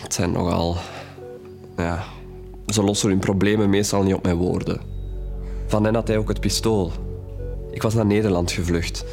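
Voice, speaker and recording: Young man in an audio drama, lowish voice, sad and candid, music behind. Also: fairly even intonation, moderate pace, creaky, long pauses, darkish tone. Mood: reflective, uneasy. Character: grieving, candid young man confessing his troubled past. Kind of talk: audio drama acting, hesitant storytelling.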